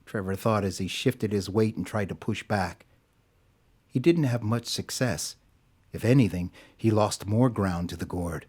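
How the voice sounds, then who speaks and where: A man's voice: lowish voice, measured pace, a little mellow, husky. A man narrating a scripted audio drama as a dramatic storyteller. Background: music.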